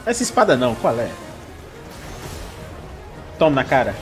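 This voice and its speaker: A Brazilian man giving casual, expressive, exclamatory commentary as he reacts to a video game. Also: medium pitch, a little fast. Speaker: gaming video host. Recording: game music behind.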